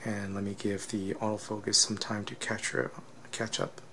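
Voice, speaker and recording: A man's voice: on the low side, flat delivery, moderate pace, pushed and bright, slight background noise, low-quality recording. A man giving a casual, unscripted technical demonstration as a product reviewer, explaining step by step and often checking in with a questioning tag.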